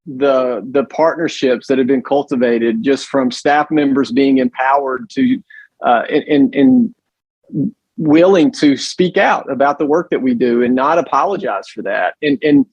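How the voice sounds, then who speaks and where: Man, Southern accent, loud voice, emphatic, frequent restarts, muffled dry audio. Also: mid-range voice, expressive, measured pace, breathy edge, no room sound. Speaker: guest, pregnancy center director. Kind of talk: conversational interview.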